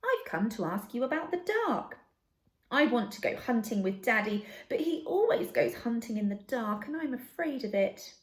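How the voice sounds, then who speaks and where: A woman's voice: on the high side, animated, normal speed, echoey room, voice pushed up, close to an everyday voice. A woman voicing a timid, earnest young male baby barn owl in a children's storybook, with a plaintive, childlike manner.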